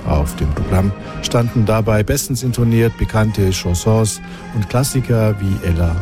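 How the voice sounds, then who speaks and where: A man reading a scripted news report in a measured, formal way. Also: lowish voice. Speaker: reporter and interviewer. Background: music under the voice-over.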